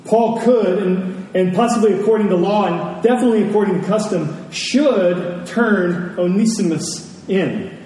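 A man preaching a storytelling sermon to a congregation, deliberate, with dramatic pauses and emphasis. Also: high voice, warm tone. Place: large indoor church space. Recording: very echoey hall, distant mic, faint noise.

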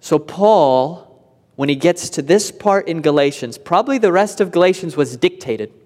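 Guy preaching a sermon, kinda high voice, earnest and explaining stuff, big echoey church. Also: animated, normal speed, a little mellow. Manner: earnest, explanatory, rising emphasis on key points. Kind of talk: expository sermon. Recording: long hall reverb.

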